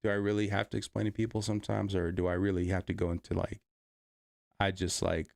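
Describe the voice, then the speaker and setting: A man's voice: lowish voice, completely flat delivery, quick pace, gravelly, darkish tone, no echo, studio quality. A man from the Bay Area, hosting a casual livestream, reading chat and rambling with many fillers and restarts. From Bay Area, California.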